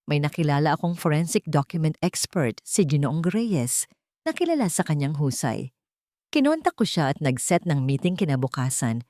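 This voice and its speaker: A woman's voice: sits low, sing-song, normal speed, mellow, dry recording, clean studio sound. A woman reading a scripted, dramatic first-person story as an audio narrator.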